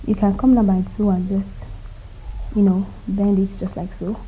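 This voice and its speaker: A woman with a cold walking viewers through cutting and sewing a top, speaking quietly and stopping often to check they are following. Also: little pitch movement, normal speed, breathy edge, rough recording. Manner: quiet, low-volume delivery. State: has a cold. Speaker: sewing tutor. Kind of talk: casual step-by-step sewing tutorial.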